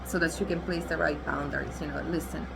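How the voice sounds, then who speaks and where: A woman's voice: low-pitched, steady tone, fast talker, creaky, noisy background. A young adult woman whose first language is Italian, doing a chatty, assertive tarot reading and laughing often. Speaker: tarot reader.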